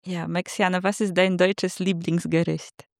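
A woman's voice: fairly low pitch, little pitch movement, a little slow, gravelly, no echo, clean studio sound. A woman co-hosting an interview, asking short upbeat questions. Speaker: podcast co-host.

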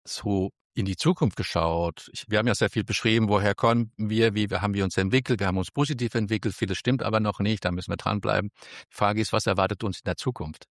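Measured, careful man, low voice. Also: steady tone, no room sound, clean studio sound. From Spain. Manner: careful, measured, with frequent restarts. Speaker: interview guest. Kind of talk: thoughtful interview answers.

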